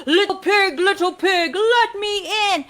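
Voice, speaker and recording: A woman voicing a greedy, blustering big bad wolf from a storybook, loud and drawn-out with playful menace. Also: very high voice, noisy background, pitched above the performer's own voice. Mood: greedy, wheedling.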